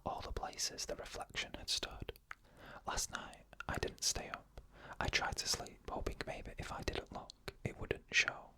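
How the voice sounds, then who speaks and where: Bright hushed whisper, slow eerie narration. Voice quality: unvoiced whisper. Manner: slow, hushed, eerie delivery in short clipped phrases. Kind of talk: whispered ASMR story narration.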